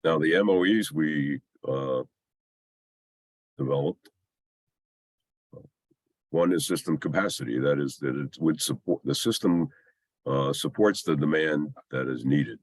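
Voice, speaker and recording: A man with a scratchy, under-the-weather voice gives an explanatory technical slide presentation in a halting, hesitant way with long pauses. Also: rumbling low, no echo. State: scratchy voice from a mild illness.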